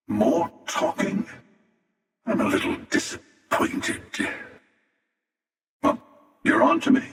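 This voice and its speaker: An actor playing a cynical, menacing, world-weary interdimensional hunter in a video game, with a gravelly, sardonic delivery. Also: male voice, very high voice, fairly bright tone, exaggerated voice. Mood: wry, taunting. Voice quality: gravelly, creaky. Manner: slow, sardonic, taunting. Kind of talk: video game character voice lines. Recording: cavernous reverb, distant-sounding voice.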